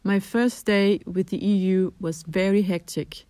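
A middle-aged Danish woman with a Danish accent, answering interview questions in a measured way with frequent ums and restarts. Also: medium pitch, fairly even intonation, dark tone, no echo. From Denmark. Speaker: translator interviewee.

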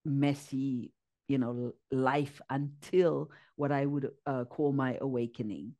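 A woman from Zimbabwe speaks as a podcast guest, with a warm, mellow tone. She is earnest and searches for her words, filling her pauses with fillers. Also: very deep voice, fairly even intonation. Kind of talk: casual interview, personal story.

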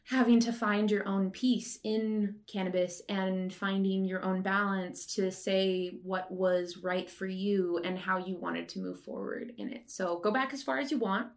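A woman hosting a conversational interview in a medium-pitched voice. She phrases things informally and keeps encouraging and reassuring the person she is talking with. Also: fairly even intonation, measured pace, hardly any pauses. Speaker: podcast host interviewer.

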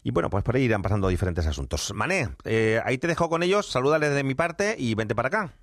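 A man's voice: mid-range voice, expressive, fast, no echo, clean studio sound. A man with a Spanish accent from Spain, hosting a radio show in casual, joking conversation. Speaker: radio host. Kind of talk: casual humorous radio chat.

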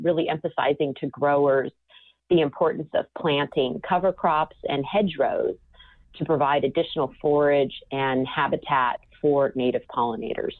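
A woman's voice: deep voice, no echo. A woman from California, a guest scientist, explaining carefully with frequent ums in a podcast interview. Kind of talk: podcast interview over phone line. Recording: tinny phone line.

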